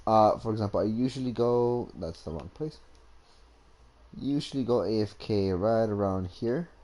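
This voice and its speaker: A man's voice: on the low side, normal speed, dark tone. A young man giving casual, rambling, slangy commentary as he plays a game. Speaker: gaming streamer.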